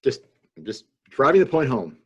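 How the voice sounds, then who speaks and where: Male comedy co-host, slightly raspy, dry deadpan delivery, informal video call banter. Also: mid-range voice, moderate pace. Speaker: podcast co-host.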